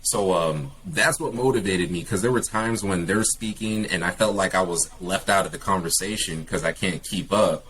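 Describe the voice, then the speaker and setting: Deep-voiced man, halting casual talk. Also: a bit bright, slight background noise, low-quality recording. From California. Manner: hesitant, many fillers and restarts. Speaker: guest, English teacher.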